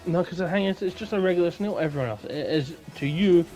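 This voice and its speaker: A man's voice: high-pitched, fast, warm tone, soft background music, poor audio. A Scottish man co-hosting a podcast in casual, informal conversation. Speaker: podcast co-host. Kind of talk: casual chat.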